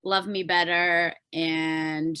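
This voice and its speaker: A woman's voice: lowish voice, flat delivery, very slow, sharp tone, smooth. A woman with a Canadian accent talking casually and informally as a guest on a podcast, explaining things as she goes. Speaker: podcast guest, pop singer-songwriter.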